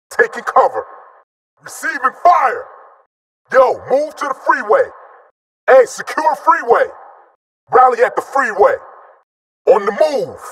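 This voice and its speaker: High-pitched, cocky robot squad leader. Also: male voice, breathy edge, heavily acted. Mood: brisk, focused. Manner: slangy, swaggering, punchy shouted callouts. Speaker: squad leader calling battle orders. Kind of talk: video game combat barks.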